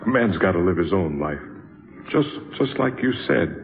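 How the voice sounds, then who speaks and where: A deep, subdued voice on a muffled old radio recording: a weary older friend. He speaks gently and haltingly, in 1950s radio drama style. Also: lively intonation, gravelly. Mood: resigned, wistful.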